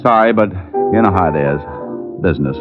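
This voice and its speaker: An actor playing a cocky, sardonic private detective in 1940s radio style. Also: male voice, deep voice, expressive, normal speed. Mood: guarded. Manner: sardonic, glib. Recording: tinny old radio recording, faint music.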